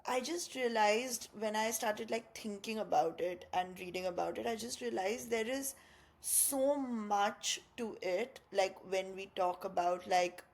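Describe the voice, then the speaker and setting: A woman's voice: mid-range voice, measured pace, low-quality recording. A young woman from India co-hosting a podcast, talking in a reflective, rambling way with many fillers. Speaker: podcast co-host. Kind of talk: casual chat.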